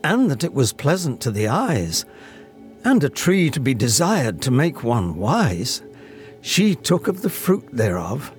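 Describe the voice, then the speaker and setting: A man's voice: on the high side, a little mellow. Solemn theatrical man reading scripture over music. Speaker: classically trained actor reading scripture. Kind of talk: dramatic reading of King James scripture.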